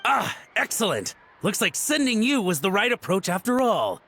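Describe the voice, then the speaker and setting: An actor voicing a nervous, groveling middle-aged antique collector in a video game, speaking in a theatrical, fawning manner. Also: male voice, high voice, sing-song, normal speed, pushed and bright, a little hiss or hum, exaggerated voice. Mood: smooth. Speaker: game character.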